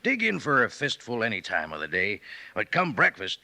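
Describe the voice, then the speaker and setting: A man's voice: huge pitch swings, normal speed, bright, forceful tone. Folksy man in a 1950s radio ad. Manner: folksy, playful sales pitch. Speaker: cereal commercial announcer.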